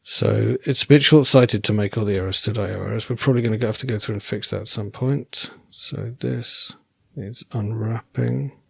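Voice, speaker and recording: Husky man live coding, voice going. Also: lowish voice, normal speed, few pauses, no room sound. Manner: relaxed, self-correcting, wry asides. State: losing his voice. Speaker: programming tutor. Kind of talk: thinking aloud while live coding.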